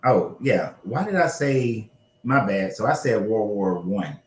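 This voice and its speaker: A man's voice: lowish voice, expressive, measured pace. A man hosting a casual history discussion, talking loosely with some swearing and correcting himself as he goes. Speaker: show host. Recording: roomy echo.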